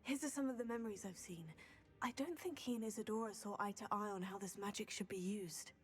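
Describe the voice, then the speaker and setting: A woman's voice: medium pitch, fairly quick, natural voice. An actress playing a determined young female student witch, earnest in manner.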